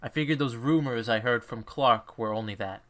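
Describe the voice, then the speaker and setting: Man acting as a stern mentor with forceful voice. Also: mid-range voice, moderate pace, lightly acted. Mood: frank, serious. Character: stern, short-tempered male mentor to a young trainee. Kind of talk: fan dub of a visual novel.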